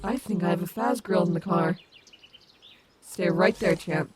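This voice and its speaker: A woman's voice: lowish voice, unhurried, background noise, close to an everyday voice. A woman playing a cocky, protective female animatronic wolf in an audio roleplay, confident, sassy and doting on the listener. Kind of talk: fan audio roleplay acting, addressing the listener.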